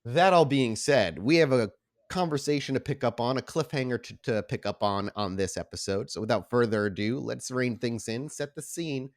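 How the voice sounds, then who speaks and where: A man's voice: mid-range voice, normal speed. A man speaking informally as the host and storyteller of a tabletop roleplay podcast, making casual announcements to listeners. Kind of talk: casual show announcements and tabletop game narration.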